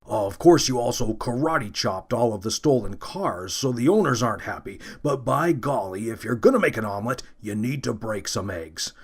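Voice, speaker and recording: A man's voice: medium pitch, expressive, moderate pace, hardly any pauses, husky. Police chief voiced by a man, mid voice, jovial, blustering. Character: blustering middle-aged male police chief. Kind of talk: storybook character voice.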